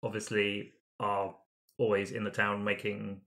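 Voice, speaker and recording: Bright-voiced man brainstorming casually. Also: lowish voice, monotone, measured pace. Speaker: tabletop roleplay player. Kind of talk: casual collaborative story brainstorming.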